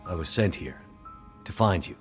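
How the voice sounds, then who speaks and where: A man's voice: deep voice, lively intonation, normal speed. A man playing a wary, plain-spoken traveler in a video game cutscene, with an understated delivery. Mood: sober, plain. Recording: faint music behind the voice.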